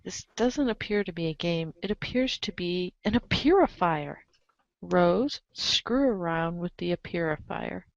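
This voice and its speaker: A woman narrating a comic story aloud in an expressive read-aloud style, on a slightly dull recording. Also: on the low side, unhurried, vocal fry, no room sound. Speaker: narrator of a group webcomic reading.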